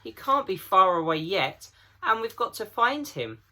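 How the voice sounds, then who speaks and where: A man's voice: sits high, very animated, unhurried, bright, forceful tone, pitched above the performer's own voice, heavily acted. A man voicing an earnest, upset older girl in a storybook reading, pleading and insistent.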